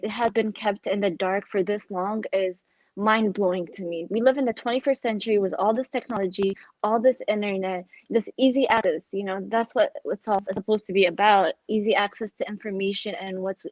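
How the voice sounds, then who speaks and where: A woman's voice: medium pitch, normal speed, no echo. Young woman, from Ethiopia, panelist, chatty, muffled video call sound, dead dry room. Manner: chatty, lots of "like" and "you know". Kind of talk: casual online panel discussion.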